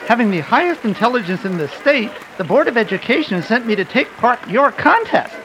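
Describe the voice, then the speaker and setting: A woman's voice: on the high side, very animated, a little fast, a bit bright, poor audio. Pompous boy brainiac, voiced by a woman, formal, swooping intonation, radio play, faint background noise. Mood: pompous, smug. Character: Percy Pill, pompous know-it-all boy genius. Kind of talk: old-fashioned radio play reading.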